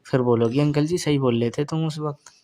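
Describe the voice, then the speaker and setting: A man's voice: a little high, fairly quick, dark tone. A middle-aged man in a casual personal call, talking in a teasing way and often repeating his phrases.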